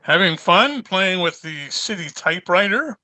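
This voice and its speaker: A man's voice: high voice, expressive, normal speed, bright, forceful tone, full character voice. A man playing a genial but wry small-town mayor in an old-time radio comedy. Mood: curious, wry.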